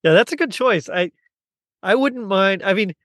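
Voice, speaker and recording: A middle-aged man with a fairly high voice chats casually as a podcast host. The sound is very dry, with no room echo. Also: lively intonation, normal speed, a bit bright. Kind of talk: casual chat, fan discussion.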